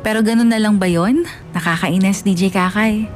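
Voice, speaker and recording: A hurt, resentful young Filipino narrator of a confessional radio drama, voiced by a woman. The delivery is plaintive and reflective at a medium pitch, over a faint music bed. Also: moderate pace, lightly acted. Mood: hurt, bitter. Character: hurt, resentful child telling of parents' separation.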